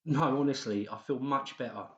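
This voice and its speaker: A man's voice: mid-range voice, moderate pace, a bit bright, reverberant, lo-fi sound, lightly acted. An actor playing a troubled, sheepish male psychiatric patient in a horror audiobook, hesitant and pleading. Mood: defensive, placating. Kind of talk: dramatised horror audiobook dialogue.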